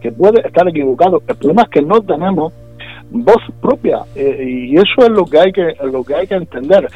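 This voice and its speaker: A man's voice: fairly high pitch, dark tone. A man with a Murcian Spanish accent speaking as a regional politician in a radio interview, insistent and often restarting and repeating his points. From Puerto Lumbreras, Murcia region, Spain. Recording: dull lo-fi radio with music behind.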